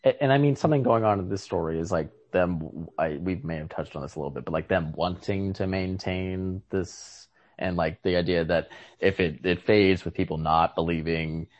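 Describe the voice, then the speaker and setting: A man's voice: low voice, normal speed, hardly any pauses, lo-fi sound. A man in casual book discussion, rambling tentatively and restarting his sentences often. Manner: tentative, rambling with many false starts. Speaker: podcast host discussing short stories.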